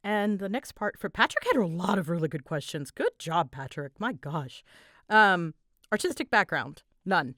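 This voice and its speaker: Young female creator, casual Q&A chat, a touch low-pitched, informal and giggly, very dry sound. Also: sing-song, normal speed, fairly bright tone, no room sound. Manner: self-deprecating, giggly, informal. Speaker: voice actor and channel creator. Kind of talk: casual solo Q&A chat to listeners.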